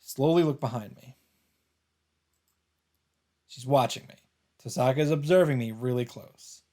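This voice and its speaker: A man's voice: medium pitch, a little slow, mellow. A man hosting a let's play, reading visual novel narration aloud. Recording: game music faintly behind. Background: game music.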